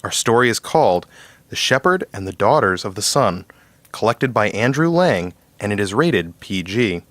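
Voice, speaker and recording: A man's voice: on the low side, animated, moderate pace, fairly bright tone, no room sound. A man hosting and narrating a scripted storybook tale. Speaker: host and narrator.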